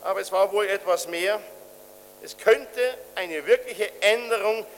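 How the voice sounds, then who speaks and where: A man giving a formal political speech in a measured, oratorical manner. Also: high voice. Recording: archive speech, echoey hall, humming noise.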